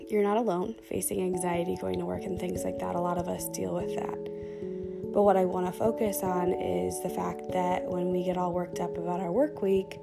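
A woman hosting a podcast, talking softly through a calm guided self-talk session, her voice scratchy from a sore throat. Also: lowish voice, normal speed, creaky, over loud music. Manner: soft-spoken, chatty with fillers. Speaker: podcast host. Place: dark room at home under blankets.

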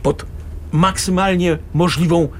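A man's voice: high voice, fairly even intonation, unhurried, slight background noise. An actor playing a sarcastic, exasperated middle-aged father arguing with his wife in a radio drama. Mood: dry, reproachful. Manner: sarcastic, argumentative.

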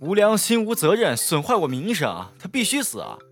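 Man acting excitable young student, swooping pitch. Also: moderate pace, soft background music, full character voice. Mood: indignant. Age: young adult. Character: young male college student, excitable, easily flustered, indignant. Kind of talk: campus audio drama acting.

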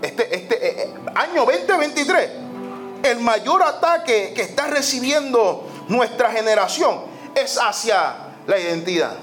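A man's voice: extremely high pitch, big pitch swings, unhurried, a bit bright, soft background music. A man preaching a sermon, emphatic, repeating phrases and dropping in the English word "so". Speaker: pastor. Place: church sanctuary.

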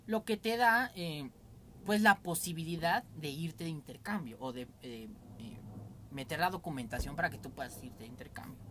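A man's voice: fairly high pitch, moderate pace, background noise. Candid Mexican man, rambling podcast chat.

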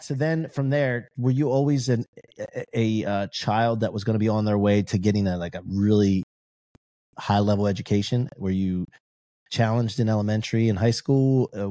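A male podcast host with a dark, mellow tone asks long, rambling questions. His manner is jokey and casual. His pitch sits in the middle range for a man. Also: dry recording, studio quality. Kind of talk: casual interview chat.

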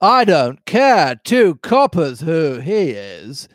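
A man voicing a fruity, theatrical, commanding pirate innkeeper with drawn-out, suggestive delivery in a storybook reading. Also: fairly high pitch, huge pitch swings, no echo, clean studio sound, voice pushed up, heavily acted. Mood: indignant, demanding. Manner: drawn out, innuendo-laden delivery.

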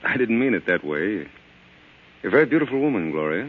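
Slick young mouthpiece lawyer: lowish, very animated, defensive, dull vintage radio sound. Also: male voice, breathy edge. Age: young adult. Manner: brisk, defensive, wisecracking. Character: cocky, evasive young male mob lawyer. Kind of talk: 1940s radio drama acting. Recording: dull lo-fi old radio recording.

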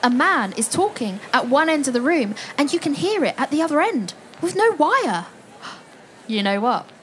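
High-voiced, enthusiastic woman acting as storyteller. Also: very animated, normal speed, a little hiss or hum. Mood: excited wonder. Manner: wondering, inviting, addresses listeners directly. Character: enthusiastic woman storyteller leading an imaginary adventure. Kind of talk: participatory storytelling for families.

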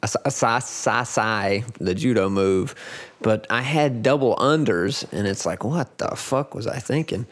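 A man in his forties chatting casually as a podcast guest, talking in halting, rambling stretches full of restarts. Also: mid-range voice. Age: 40s. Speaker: podcast guest, grappling competitor. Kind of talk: casual chat.

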